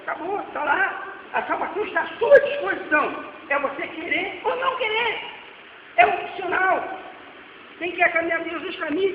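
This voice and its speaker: Man in his forties, Brazilian accent, very high voice, dull lo-fi church recording with long reverb. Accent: Brazilian Portuguese. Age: 40s. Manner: swings between loud exhortation and quiet asides. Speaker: preacher. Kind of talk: sermon with slides. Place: church hall. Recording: echoey hall, dull lo-fi sound, faint noise.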